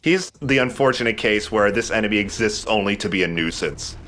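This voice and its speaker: A man's voice: lowish voice, expressive, fairly quick, bright, forceful tone. A man, a young adult, giving casual, joking gameplay commentary in a sardonic, grumbling, sweary way. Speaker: let's play host playing the game.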